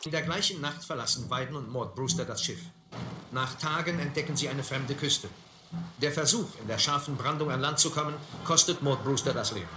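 A man's voice: medium pitch, brisk. A man narrating a radio play in scripted German storytelling style. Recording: roomy, clearly noisy old recording.

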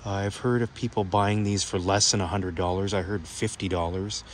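A man with a fairly low voice talks casually through a hands-on demonstration. He is plain-spoken and explanatory, unscripted. The phone recording is made outdoors, with some light noise behind him. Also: steady tone, moderate pace. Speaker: hobbyist video presenter. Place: outdoors on a backyard patio at night. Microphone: handheld phone camera.

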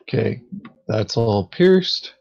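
A man playing a board game, talking casually in terse, deadpan remarks. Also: fairly low pitch, takes it slowly, creaky. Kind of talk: casual gaming chat. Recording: dull, lo-fi voice chat microphone.

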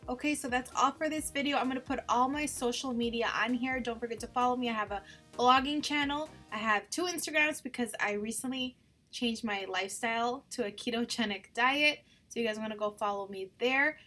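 A woman's voice: fairly high pitch, brisk, pushed and bright, faint music behind. A woman vlogger narrating a casual home cleaning video in an informal, gushing way.

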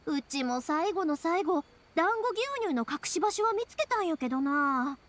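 Very high, cheerful Kansai girl. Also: animated, normal speed, fairly bright tone. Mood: excited, friendly. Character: cheerful, confident young woman, fireworks-loving anime game heroine. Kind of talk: Japanese anime game voice acting. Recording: background music behind dialogue.

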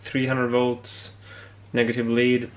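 A man giving a casual hands-on demonstration as a hobbyist, often pausing mid-phrase. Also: medium pitch, monotone, a little hiss or hum. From Sweden. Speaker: amateur radio hobbyist presenter. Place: indoor home electronics workbench.

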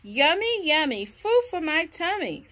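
A woman's voice: high-pitched, very animated, measured pace, a bit bright, voice pushed up, exaggerated voice. A woman voicing hungry storybook sharks in a gleeful, greedy sing-song chant.

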